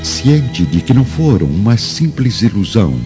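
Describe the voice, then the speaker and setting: A man's voice: fairly low pitch, sing-song, normal speed. A man narrating a radio drama from a script in a solemn, theatrical way. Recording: muffled audio with faint music.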